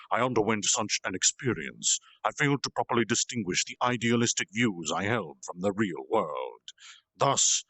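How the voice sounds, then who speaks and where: A man voicing a gentle, earnest slime monster leader who was once human, speaking in a solemn, halting confessional way for a storytime reading. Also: lowish voice, no echo, poor audio.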